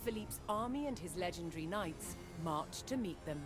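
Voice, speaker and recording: A woman reading scripted historical documentary narration in a measured, dramatic storytelling manner. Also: fairly low pitch, animated. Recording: loud music under the voice. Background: loud background music.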